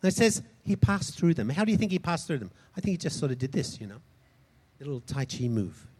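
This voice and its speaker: A man preaching a sermon, impassioned, swinging between loud shouts and soft, quick asides. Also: fairly high pitch, very animated, breathy edge. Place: large hall converted into a church.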